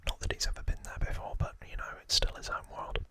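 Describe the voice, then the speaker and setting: Softly whispering male ASMR host. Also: fast talker. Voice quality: breathy whisper. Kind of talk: whispered ASMR trivia storytelling.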